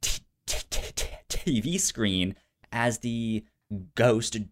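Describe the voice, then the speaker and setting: A man's voice: medium pitch, animated, slow pace, noisy background. A man co-hosting a film podcast, talking casually and enthusiastically, with a stutter that repeats sounds and syllables. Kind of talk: casual movie discussion.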